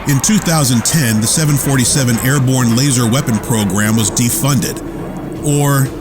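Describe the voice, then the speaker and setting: A man's voice: mid-range voice, moderate pace, over music, lightly acted. A man narrating a radio theater drama in a scripted storytelling style.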